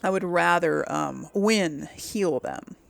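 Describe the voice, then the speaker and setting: Casual woman gamer with a creaky voice. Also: fairly low pitch, slow. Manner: informal, conversational, thinking aloud. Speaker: video game streamer, host of a role-play series. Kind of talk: casual gameplay commentary.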